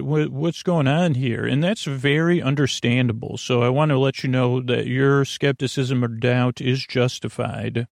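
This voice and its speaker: A man hosting a bedtime podcast in a rambling, halting monologue full of digressions, with a gravelly, creaky voice. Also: mid-range voice, no room sound, clean studio sound. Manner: meandering, halting, full of digressions and trailing thoughts. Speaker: podcast host.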